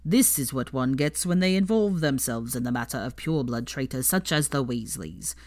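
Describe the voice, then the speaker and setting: A woman voicing a servile, spiteful old male house-elf who speaks deliberately in a storybook reading. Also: rumbling low, lively intonation, a little mellow, voice pushed down. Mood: solemn reading aloud. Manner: speaks of himself in third person, deliberate.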